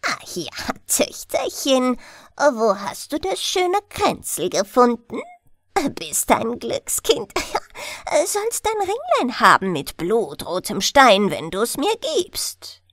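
A woman's voice: on the high side, huge pitch swings, takes it slowly, fairly bright tone, no room sound, clean studio sound, exaggerated voice. A woman voicing a sly, greedy old crone of the forest in a bedtime fairy tale, coaxing and cackling.

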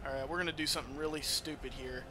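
A man giving casual let's-play game commentary in an excitable, foul-mouthed manner. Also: fairly high pitch, rapid-fire, background noise. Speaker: gamer playing and commentating.

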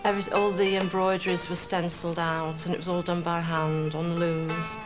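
A woman's voice: on the low side, little pitch movement, moderate pace, music in the background, rough recording. A woman costume designer speaking in an interview, thoughtful and a little hesitant, with fillers.

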